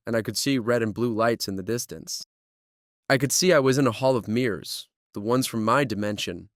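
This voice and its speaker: A man's voice: mid-range voice, a bit bright, dry recording, clean studio sound, lightly acted. An actor playing a plucky young man who narrates and lives through a horror audio drama. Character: Ben, plucky young man trapped in nightmare dimension. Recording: music behind the voice.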